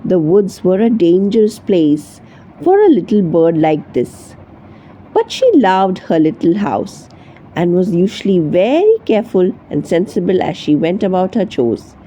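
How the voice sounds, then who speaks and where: A woman narrates a children's storybook with very lively, swooping intonation. Her tone is soft and mellow at a medium pitch, with faint noise in the background. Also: unhurried. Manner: expressive read-aloud storytelling. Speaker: storybook reader.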